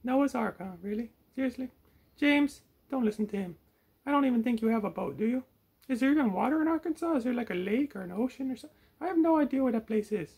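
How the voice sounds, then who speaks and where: Squeaky strained falsetto, silly gluttonous puppet monster, cheeky, excitable, pitched way up, puppet show. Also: male voice, quick pace, creaky, slightly rough, exaggerated voice. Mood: playful teasing.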